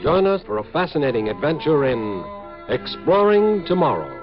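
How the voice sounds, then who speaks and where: A man's voice: on the high side, very animated, moderate pace, loud music behind the voice. A man announcing in a scripted 1950s radio style. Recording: dull 1950s radio recording.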